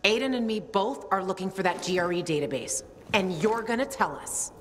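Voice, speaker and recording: An actress playing a tough, jaded survivor in a video game, blunt, sardonic and profane. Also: female voice, medium pitch, expressive, a little fast, fairly bright tone, lightly acted. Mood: insistent. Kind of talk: video game dramatic acting.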